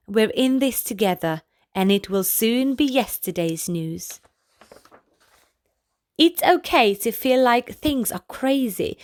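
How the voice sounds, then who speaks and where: A woman reading a children's picture book aloud as a storyteller, in a gentle, deliberate manner addressed to young listeners. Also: a little high, dry recording, clean studio sound. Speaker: children's storybook reader, channel host. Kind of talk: read-aloud bedtime story narration for children.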